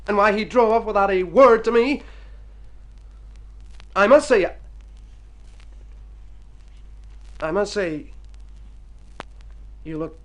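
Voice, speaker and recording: An actor playing an excitable, self-pitying hypochondriac in an old radio drama, with a theatrical, florid delivery. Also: male voice, high voice, rough recording. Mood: awkward, tender. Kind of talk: golden-age radio drama acting.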